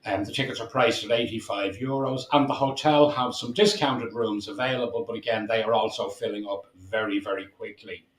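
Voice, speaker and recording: A man's voice: fairly low pitch, steady tone, a little fast, few pauses. A man hosting a chatty interview show, presenting announcements and putting questions to a guest. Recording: echoey room sound.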